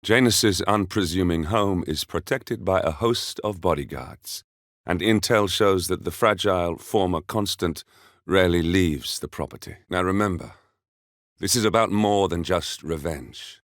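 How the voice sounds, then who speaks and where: A composed, brooding mercenary handler from a stealth video game. His voice sits low, and he delivers briefings in measured, understated phrases with a wry edge. The sound is dry and close, free of room echo. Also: a bit bright. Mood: grim, focused. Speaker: mission handler. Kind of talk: scripted video game voice acting, mission briefing.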